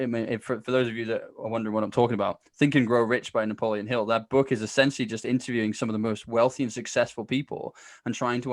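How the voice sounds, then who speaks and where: A man's voice: medium pitch, no room sound, studio quality. A man speaking as a podcast guest in a casual, chatty storytelling style, acting out bits of conversation as he goes. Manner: chatty, informal, acts out quoted exchanges.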